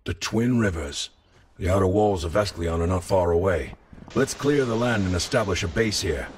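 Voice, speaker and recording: An actor playing a stern, resolute medieval lord in a fantasy game, speaking gravely and commandingly. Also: male voice, sits low, normal speed, slight background noise, close to an everyday voice.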